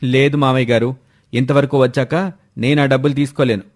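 A man voicing a proud, stern, offended son-in-law in an animated moral story, speaking firmly and indignantly. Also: mid-range voice, brisk, close to an everyday voice. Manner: firm, indignant.

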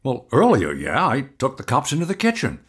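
A man's voice: medium pitch, huge pitch swings, brisk, breathy edge. An actor playing a concerned, coaxing man in an audio drama, a little hesitant. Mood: puzzled, concerned. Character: Pete, concerned man soothing a distressed woman.

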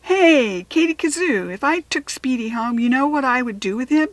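A woman's voice: sits high, expressive, normal speed, no room sound, pitched above the performer's own voice. Woman as a mischievous, playful young schoolboy. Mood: teasing.

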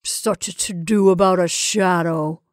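A woman voicing a man in a story who talks with deliberate, pleasant ease to cover his unease. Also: on the low side, vocal fry, dry recording, studio quality. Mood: dismissive. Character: man, brother, falsely easy, covering unease.

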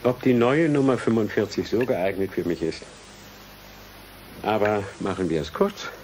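An actor playing a cynical, gloating murderer, a ruthless scientist, in a mocking, taunting manner in a radio play. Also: male voice, fairly low pitch, animated, normal speed, a little mellow, slight background noise, natural voice, low-quality recording. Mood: gloating menace. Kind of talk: radio drama acting.

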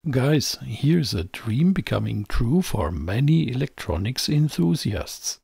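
A man's voice: medium pitch, sing-song, slow, vocal fry, darkish tone, husky, dry recording. A man presenting a scripted technical explainer in a didactic, emphatic way, as a close voice-over. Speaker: presenter of an electronics channel.

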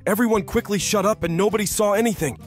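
A man's voice: high voice, fast talker, soft background music, close to an everyday voice. A man voicing an exasperated, playful cartoon animal friend in an amateur comic dub.

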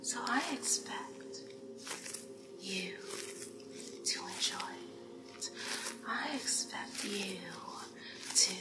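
A woman voicing a flirtatious, doting female devil in a whispered ASMR roleplay, slow and deliberate, playfully commanding. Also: medium pitch, roomy sound, low-quality recording. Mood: hypnotic, insistent. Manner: slow, deliberate whispering with lingering repeated phrases. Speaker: ASMR performer.

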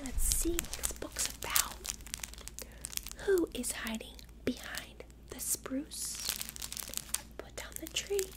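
A young woman in an ASMR roleplay as a childcare worker, talking gently and simply to a small child with lots of questions. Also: high-pitched. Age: young adult. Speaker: ASMR creator playing a preschool childcare worker.

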